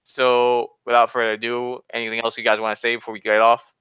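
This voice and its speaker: A young man with a forceful, clear voice, arguing casually like he's among friends. He is slangy and opinionated. The recording is close and dry, though a little dull, like an online voice chat. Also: medium pitch, completely flat delivery, fast, no room sound. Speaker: podcast co-host.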